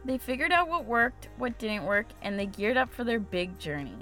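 Female podcast host, scripted intro narration, slightly high voice over a music bed. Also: sing-song, moderate pace, fairly bright tone.

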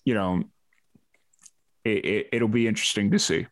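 A man's voice: on the low side, normal speed. A man hosting a casual interview, talking in a laid-back, informal way. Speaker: podcast host.

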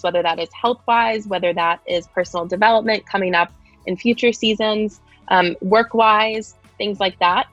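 A woman's voice: on the low side, fairly bright tone, no echo, muffled recording. A woman who works as a health and wellness coach, co-hosting a podcast teaser in an upbeat manner, stretching out key words.

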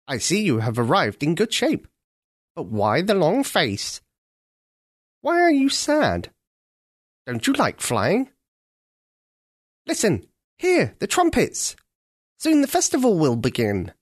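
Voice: mid-range voice, huge pitch swings, slow, pauses often, dry recording, studio quality, pitched above the performer's own voice, full character voice. A narrator doing a hearty old leader crow. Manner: hearty, coaxing. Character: jolly old senior crow, leader of the flock.

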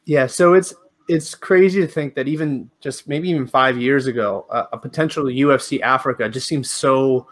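A man's voice: mid-range voice, moderate pace. Friendly male interviewer from Spain. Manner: friendly, stumbling restarts in questions. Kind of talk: interview questions.

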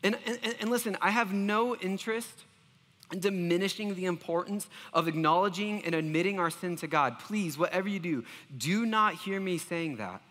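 A high-voiced man preaches with an earnest, self-deprecating manner, often stumbling into restarts. His tone is bright and forward, and a long reverb trails behind his words. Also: moderate pace. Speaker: pastor. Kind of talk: sermon.

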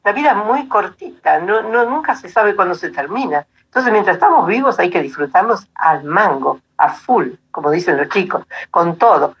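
A woman's voice: mid-range voice, expressive, normal speed, hardly any pauses, husky, dull audio. An Argentine woman of eighty-two telling stories casually as an interview guest, with an easygoing, anecdotal manner. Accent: Argentine Spanish.

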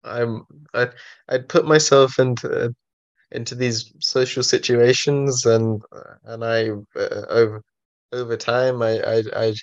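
A young man in his twenties who stutters heavily, repeating sounds and filling pauses as he answers interview questions. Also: mid-range voice, level tone. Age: 20s. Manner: heavy stutter with many repetitions and fillers. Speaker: college student guest. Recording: dull muffled lo-fi audio.

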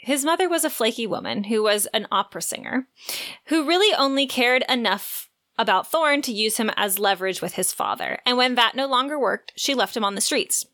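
Woman, bright crisp-edged voice, slightly high pitch, book podcast co-host, part chatty, part read aloud. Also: lively intonation, brisk.

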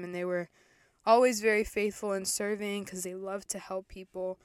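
Young woman, podcast host, chatty and candid, clear smooth voice, middle pitch. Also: no echo, clean studio sound. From El Salvador. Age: 20s. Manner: chatty, self-correcting, candid. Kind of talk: casual faith podcast monologue.

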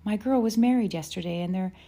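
A woman's voice: mid-range voice, fast talker, creaky, mellow, voice pushed up. A woman as a harried, apologetic homesteader's wife. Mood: flustered, apologetic. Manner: flustered, repeating words. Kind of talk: storybook character voice.

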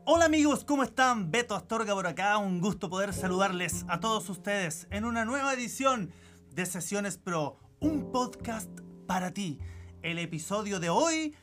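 A man's voice: sits high, expressive, moderate pace, a bit bright. A man with a Chilean accent hosting a podcast, friendly and enthusiastic as he greets and questions his guest. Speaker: podcast host. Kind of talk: podcast interview. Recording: podcast with guitar music behind.

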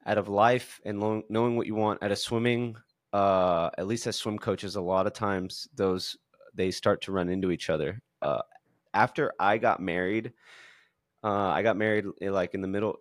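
A man in his thirties who hosts a podcast interview, talking in a relaxed and chummy way. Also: lowish voice, dry recording, studio quality. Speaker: podcast host. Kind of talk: casual interview.

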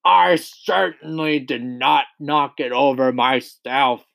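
A man's voice: medium pitch, fairly even intonation, vocal fry, a bit bright, dry recording, pitched above the performer's own voice. Man putting on a huge bellowing tyrant headmistress. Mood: indignant, fed up. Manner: roaring, drawn-out accusing delivery.

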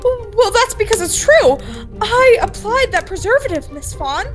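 Girl playing guilty nervous daughter in old radio play, super high voice, slow and halting. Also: big pitch swings, faint music behind, full character voice. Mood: defensive, evasive. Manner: halting, theatrical. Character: nervous, guilt-ridden young daughter of a villain. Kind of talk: old-time radio drama acting.

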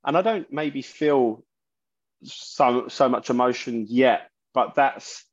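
A man, a musician guest in an interview, talking haltingly and often restarting his sentences. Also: medium pitch, breathy edge. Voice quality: loud voice. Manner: halting, searching for words, many restarts. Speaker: musician guest, bassist and singer. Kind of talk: casual interview talk. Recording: dull lo-fi sound.

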